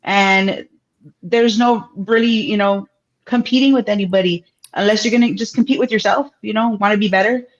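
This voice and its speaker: A woman's voice: mid-range voice, steady tone, normal speed, muffled recording. A woman musician guesting on a radio show, speaking in an earnest, reflective way. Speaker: band member, guest. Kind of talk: radio interview.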